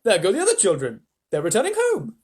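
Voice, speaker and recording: A man voicing a gleeful, eccentric gentleman inventor in a children's storybook, exclamatory and confident. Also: high-pitched, huge pitch swings, quick pace, slightly rough, heavily acted. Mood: delighted.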